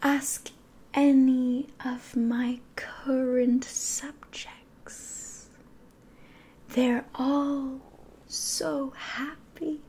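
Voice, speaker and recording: Young woman doing a sly demon lady in asmr roleplay, breathy coaxing whisper, super slow and soft, mellow tone. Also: high-pitched, flat delivery, exaggerated voice. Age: 20s.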